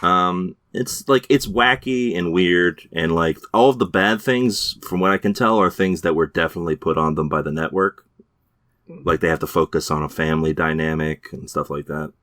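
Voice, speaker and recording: A man's voice: low voice, moderate pace, a bit bright, no echo, clean studio sound. A man co-hosting a podcast, chatting casually about TV shows with many fillers and restarts. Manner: hesitant, lots of fillers and restarts. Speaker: podcast co-host. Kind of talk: casual chat reviewing TV shows.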